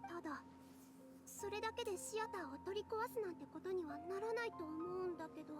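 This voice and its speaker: Very high, earnest young dancer girl. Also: very fast. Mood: concerned. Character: young female theater dancer, earnest, gentle, anxious yet resolute. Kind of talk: Japanese video game dub acting. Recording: game audio under loud music.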